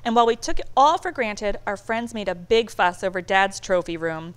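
Expressive woman reading a children's book aloud. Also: medium pitch, moderate pace, clear, resonant tone. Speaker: storybook reader.

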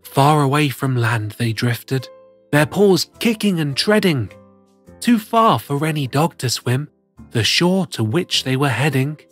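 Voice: medium pitch, very animated, unhurried, soft background music. A performer voicing a cheerful plush cat who reads picture books to children in a theatrical, sing-song way. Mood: storytelling. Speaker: storyteller host. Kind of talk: expressive children's picture-book read-aloud.

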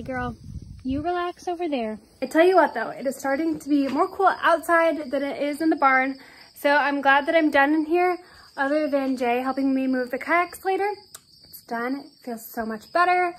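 A woman's voice: sits high, a bit bright, low-quality recording. A woman in her twenties from Iowa, chatting casually as she vlogs while walking through an old farm barn. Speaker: vlogger. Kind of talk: casual vlog narration. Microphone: handheld camera close to the face.

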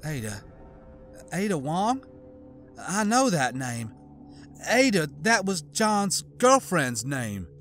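A man voicing a grieving, half-mad woman scientist who snarls coldly and bursts into shouts. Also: fairly high pitch, very animated, takes it slowly, pauses often, soft background music, pitched above the performer's own voice, heavily acted. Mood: bitter. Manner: cold, snarling, breaking into shouts. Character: grief-crazed, bitter woman scientist.

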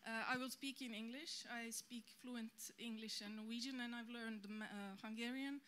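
A woman's voice: a little high, steady tone, normal speed, fairly bright tone. Chatty woman. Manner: chatty, self-ironic, hesitant with fillers. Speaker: audience member asking a question. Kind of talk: spontaneous remarks from the floor. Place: press center hall.

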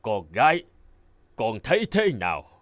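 An aging fairy-tale king for a cartoon. He is proud and fatherly, declaiming in a stately way with a very lively intonation. The sound is dry and close. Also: medium pitch, unhurried, dry recording. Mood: measured, stern.

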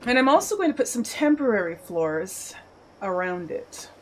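A woman hosting a game channel, slightly hoarse from a cough, explaining a building project step by step in a friendly, casual voice-over. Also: mid-range voice, sing-song, moderate pace, noisy background. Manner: friendly step-by-step explaining, occasional throat clearing. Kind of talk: casual tutorial narration over gameplay.

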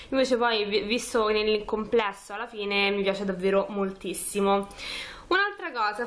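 Higher-voiced young woman chatting informally. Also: a bit bright. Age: 20s. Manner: informal, chatty, narrates actions step by step. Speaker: beauty vlogger. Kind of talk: casual makeup tutorial chat to camera. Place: small furnished room at home, indoors.

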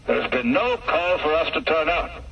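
Brief: man voicing brisk male RAF officers over a dull, distant phone line, high and lively. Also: creaky, a little hiss or hum. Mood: curt. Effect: telephone line.

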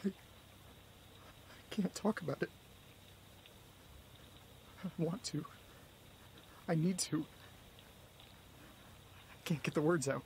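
A man acting in a horror audio drama as an anxious, confused son on the phone, speaking in a halting, stammering way. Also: fairly high pitch, gravelly, a little hiss or hum. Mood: confused, distressed. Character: anxious, confused young man confiding in his mother.

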